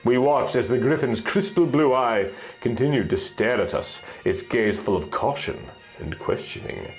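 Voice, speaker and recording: A man reading scripted fantasy fiction as an expressive story narrator. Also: medium pitch, moderate pace, soft background music.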